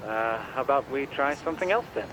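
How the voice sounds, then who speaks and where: An actor playing a geeky, eager, chuckly computer-search expert in a video game, with quirky delivery. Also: male voice, mid-range voice, animated, a little fast, background noise, natural voice. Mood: amused, curious. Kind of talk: video game cutscene dialogue.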